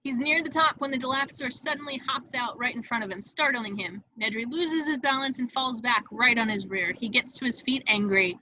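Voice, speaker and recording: Very bright-voiced woman narrating a script. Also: on the high side, a little fast, few pauses, highs cut off. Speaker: stage directions narrator. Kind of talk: scripted narration at a table read.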